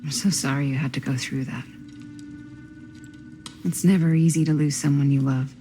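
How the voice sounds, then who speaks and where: An actress playing a composed, probing middle-aged woman in a video game, measured and dryly serious. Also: deep voice, fairly even intonation, warm tone, faint music behind, natural voice. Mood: gentle, regretful. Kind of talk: naturalistic video game acting.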